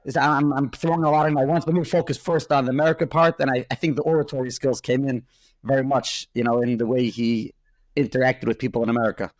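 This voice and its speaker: A man's voice: medium pitch, steady tone, fast, no room sound. A male host who interviews a guest and piles several questions into one long turn. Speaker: podcast host.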